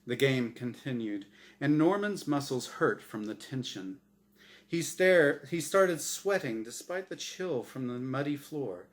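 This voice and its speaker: A man's voice: medium pitch, expressive, measured pace. A man reading his own short story aloud as dramatic scripted narration.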